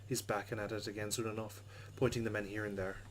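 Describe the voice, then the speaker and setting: A man's voice: on the low side, level tone, quick pace, a little hiss or hum. A man hosting a let's play, reading game text aloud and adding casual commentary.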